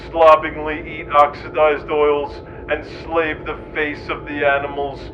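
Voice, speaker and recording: A man's voice: a little high, muffled recording, background noise, lightly acted. A man playing a solemn, pompous reverend who gives a preachy mock scripture reading.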